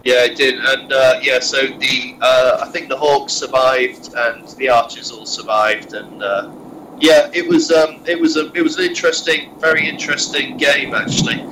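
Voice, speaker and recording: A man's voice: medium pitch, fairly even intonation, moderate pace, bright, forceful tone, a little hiss or hum, poor audio. A man with a head cold, sounding nasal, chatting casually and rambling through filler-heavy restarts while he drives. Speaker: podcast co-host recounting a wargaming tournament. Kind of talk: casual chat. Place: in a moving car.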